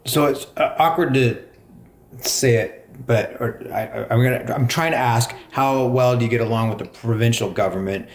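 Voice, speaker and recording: A man's voice: mid-range voice, moderate pace, reverberant. A man interviewing a guest, phrasing his question hesitantly and carefully.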